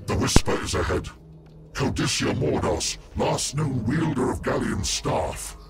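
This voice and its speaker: An actor playing a solemn, learned warrior psyker in a video game, speaking in formal, measured statements. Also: male voice, mid-range voice, gravelly. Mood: ominous, solemn. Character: solemn, learned male space marine psyker Quindar. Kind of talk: video game cutscene voice acting. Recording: loud game music behind the voice.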